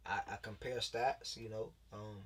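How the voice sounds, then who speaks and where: A man's voice: fairly low pitch, flat delivery, moderate pace, slightly rough, far from the mic. A man in his late twenties, a former football player turned coach, telling his story in loose casual talk, full of fillers and restarts. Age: late 20s.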